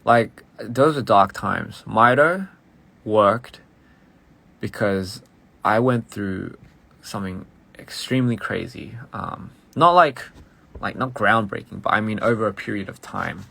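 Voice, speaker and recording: Young male musician, low gravelly voice, fast and rambling, casual stream chat. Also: lively intonation, a bit bright. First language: English. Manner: rambling, halting, full of "like" and restarts; switches between English and Korean.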